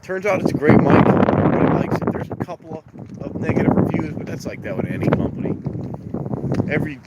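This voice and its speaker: Stuffy-nosed male train vlogger, rambling camera-mic chat outdoors over loud traffic noise. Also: fairly high pitch, big pitch swings, fast talker. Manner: rambling, chatty, easygoing. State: stuffed-up nose. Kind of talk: casual live-stream chat. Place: outdoors by a railroad crossing. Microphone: handheld camera mic, wind noise.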